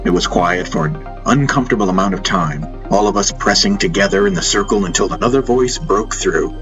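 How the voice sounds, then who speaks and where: A man's voice: sits low, brisk, highs cut off. A man narrating a dramatic first-person audiobook story. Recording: music behind the voice. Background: music.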